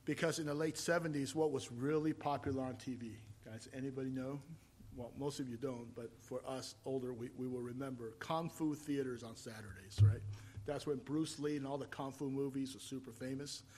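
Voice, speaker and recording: A man in his fifties, from Taiwan, preaching a sermon and telling his own story, rising to loud emphatic points and falling back to quiet, reflective storytelling. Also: mid-range voice, steady tone, fairly quick, slight background noise. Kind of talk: sermon with personal testimony.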